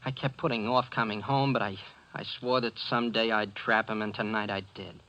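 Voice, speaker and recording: Bright-voiced, earnest teenage boy, old radio. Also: fairly low pitch, steady tone, moderate pace, muffled recording. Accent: American. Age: teenager, about seventeen. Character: earnest, folksy teenage boy trapping his scheming stepfather. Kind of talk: 1940s radio drama acting. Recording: band-limited vintage 1940s radio recording.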